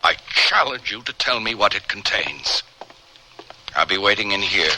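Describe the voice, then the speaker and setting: An actor playing a tormented, grave and commanding biochemist with a secret, in radio drama style. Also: male voice, fairly low pitch, animated, normal speed, natural voice. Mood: grave, urgent. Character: tormented, intense biochemist guarding a secret in his lab. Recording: noisy old radio recording.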